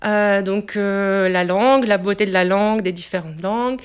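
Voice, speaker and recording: A woman in her twenties from Italy, speaking French as a second language with an Italian accent, answering interview questions with many pauses and fillers. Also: mid-range voice, clear, resonant tone. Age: 20s.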